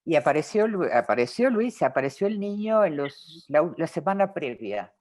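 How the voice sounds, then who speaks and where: A woman's voice: low-pitched, very animated, normal speed, a little mellow. A woman from Uruguay with a Rioplatense Spanish accent, a film director answering interview questions in a reflective way, with many fillers and restarts.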